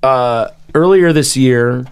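A man in his thirties with a loud, carrying voice, co-hosting a podcast and chatting casually with lots of "you know" and "like". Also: medium pitch, slow pace, clean tone. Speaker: podcast host and band member.

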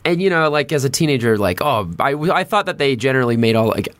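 A man's voice: mid-range voice, lively intonation, fast. A man chatting as a podcast guest, informal, self-deprecating and given to swearing. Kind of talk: casual podcast chat.

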